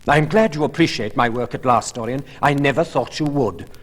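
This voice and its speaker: A man voicing an earnest, touchy gentleman painter in a storybook reading, bitter and brusque. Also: mid-range voice, fairly quick, big-room sound, voice pushed up, close to an everyday voice. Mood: brisk, dry.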